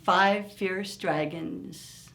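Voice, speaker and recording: A woman reading aloud for young children in an expressive, deliberate storytime manner, engaging her listeners directly. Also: lowish voice. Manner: expressive, asks listeners simple questions. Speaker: children's story-time reader.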